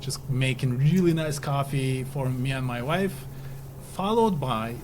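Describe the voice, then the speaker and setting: A man from Turkey chats casually as a podcast host, in a mid-pitched voice. His recording has clear background noise. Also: a little slow. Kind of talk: casual interview chat.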